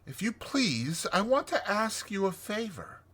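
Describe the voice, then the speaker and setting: Man voicing an earnest, high-voiced little mole. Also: big pitch swings, a little slow, a bit bright, breathy edge, exaggerated voice. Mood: polite, eager. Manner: polite, tentative, earnest. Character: earnest, candid, polite male mole, modest and timid. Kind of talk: dramatized storybook reading.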